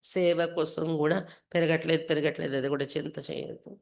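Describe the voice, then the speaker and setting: A woman's voice: deep voice, steady tone, a little fast, vocal fry. A woman from India, a spiritual teacher reading devotional text and explaining it line by line, repeating phrases for emphasis.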